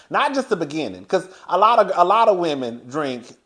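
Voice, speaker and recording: A man in his thirties hosting a gossip vlog, chatty and animated, laughing often as he talks. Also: sits high, normal speed. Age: 30s. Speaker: host.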